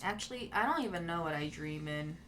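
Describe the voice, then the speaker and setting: A woman whose first language is Mandarin, chatting casually with viewers while she plays a game as a streamer, in a relaxed and musing way. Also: fairly low pitch, fairly quick. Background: game music.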